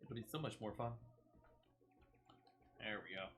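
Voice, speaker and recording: A man's voice: fairly low pitch, animated, a little slow, a bit bright, slight background noise. A man streaming a video game, casually thinking aloud and narrating each of his moves. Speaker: gaming streamer. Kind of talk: casual gameplay commentary.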